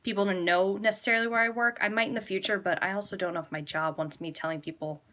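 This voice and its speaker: Young American female vlogger, bright crisp-edged voice, mid pitch, enthusiastic, rambling, informal live Q&A delivery. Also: steady tone, fast talker. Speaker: travel vlogger and travel agent. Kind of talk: casual live Q&A chat to viewers.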